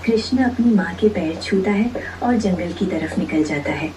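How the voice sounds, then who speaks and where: A woman's voice: fairly low pitch, moderate pace, far from the mic, background noise. A woman narrating a moral story in a scripted storytelling style.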